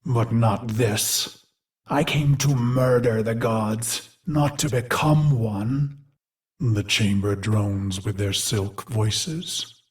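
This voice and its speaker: A man voicing a brooding warrior machine mind in a video game, recalling a brutal past in a grave, theatrical monologue with deliberate phrasing. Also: lowish voice, steady tone. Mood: grim, resolute. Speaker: game character narrator. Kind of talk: dramatic scripted game monologue.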